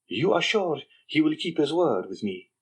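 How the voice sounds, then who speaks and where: A man voicing a sly, mocking young man with a husky voice, speaking slowly and insinuatingly. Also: fairly high pitch, big pitch swings, voice pushed up. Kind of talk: audiobook character reading.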